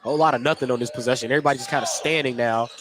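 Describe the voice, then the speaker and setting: A man's voice: medium pitch, steady tone, racing. A man doing live radio play-by-play of a basketball game, describing the action in short running phrases on a headset mic. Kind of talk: live radio sports commentary. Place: indoor basketball gym. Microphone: headset microphone. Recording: gym noise behind headset commentary.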